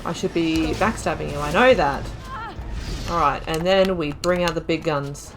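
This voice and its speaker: A woman's voice: low-pitched, normal speed, music in the background. A young woman giving casual let's-play commentary, chatting and thinking aloud about her choices in the story. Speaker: gaming video creator.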